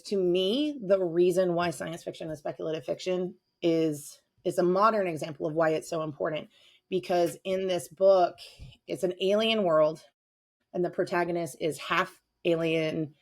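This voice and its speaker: Woman chatting enthusiastically, slightly low voice. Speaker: podcast guest, avid reader. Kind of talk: casual podcast chat.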